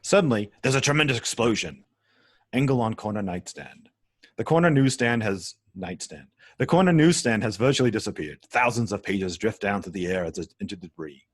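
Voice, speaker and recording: A man's voice: fairly low pitch, lively intonation, fairly quick, husky, no echo, clean studio sound. A man reading stage directions as the narrator of a script table read.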